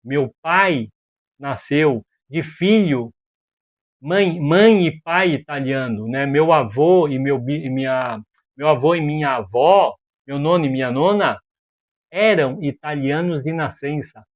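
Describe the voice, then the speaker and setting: A man from Brazil giving casual opinion commentary as a video host, animated and exasperated, full of rhetorical questions and slang. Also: on the high side, slow, dull audio.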